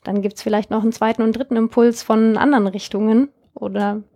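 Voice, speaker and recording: Young German woman, company founder, chatty interview guest, voice a bit high. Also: fairly even intonation, fairly quick, dark tone. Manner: chatty, many fillers and restarts. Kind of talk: casual interview.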